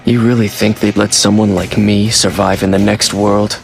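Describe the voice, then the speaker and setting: A man's voice: on the low side, flat delivery, normal speed, creaky, faint music behind. A man playing a defiant, bitter young half-monster fighter in a game, brusque and sullen. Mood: bitter defiance. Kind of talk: dramatic game cutscene acting.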